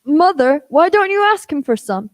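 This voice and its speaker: A woman's voice: high voice, very animated, normal speed. A woman playing a polite, obedient young innkeeper's son in an amateur radio play, on a noisy recording. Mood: questioning. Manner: meek, deferential.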